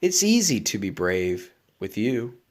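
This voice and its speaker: A man's voice: fairly low pitch, sing-song, a little slow. A man voicing a nervous farm goat in a bedtime storybook, shy and a bit shaky. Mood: pleading, sheepish.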